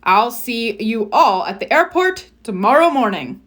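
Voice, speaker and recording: A woman voicing a brisk, encouraging male schoolteacher in a children's storybook, giving instructions. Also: a little high, big pitch swings, a bit bright. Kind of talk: children's storybook read-aloud.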